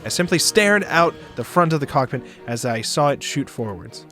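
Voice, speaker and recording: A man doing a dramatic narration of a visual novel for a stream, expressive, sometimes stumbling and joking. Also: mid-range voice, moderate pace. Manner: expressive, stumbles and rereads lines. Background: music behind the voice.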